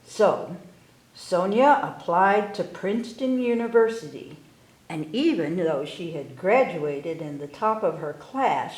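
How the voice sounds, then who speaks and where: A woman hosting a library story time, reading aloud to children with deliberate phrasing. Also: fairly low pitch, a little mellow. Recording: roomy, echoey indoor sound.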